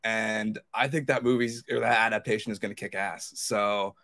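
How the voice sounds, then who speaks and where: A man's voice: fairly low pitch, fairly even intonation, moderate pace, fairly bright tone. A man chatting casually and informally as a podcast panelist, swearing freely. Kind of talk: casual chat.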